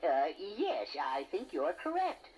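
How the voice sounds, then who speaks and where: A man's voice: high-pitched, huge pitch swings, slow pace, creaky, a little mellow, full character voice. A man voicing a kindly, bumbling old inventor in a children's audio storybook, hesitant and muttering. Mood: befuddled, mild.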